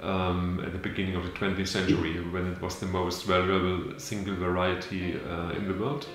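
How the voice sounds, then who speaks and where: A man's voice: low-pitched, level tone, fairly quick, fairly bright tone. A man who manages a winery, answering interview questions with many fillers and restarts. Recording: very echoey with background music. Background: music.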